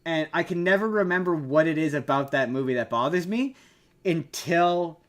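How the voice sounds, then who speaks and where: A man's voice: a little high, animated, normal speed. A man hosting a podcast, chatting casually about film and TV in a rambling, jokey way and often repeating himself. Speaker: podcast host.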